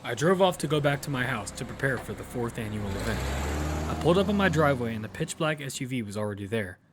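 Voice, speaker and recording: A man's voice: medium pitch, lively intonation, fast, background noise, natural voice. A man playing a determined lead who narrates his own survival story in a matter-of-fact way. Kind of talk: first-person audio fiction narration. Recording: music bed behind the voice.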